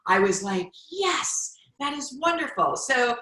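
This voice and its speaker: A woman's voice: high-pitched, sing-song, measured pace, pushed and bright. A middle-aged woman hosting a podcast interview, speaking in an effusive, encouraging way. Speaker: podcast host, former hospice nurse. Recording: roomy echo.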